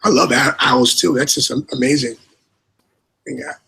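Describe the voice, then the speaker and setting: Raspy-voiced man, rambling livestream chat. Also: medium pitch, normal speed, bright, forceful tone. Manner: rambling, repeats words, many fillers. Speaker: co-host and moderator.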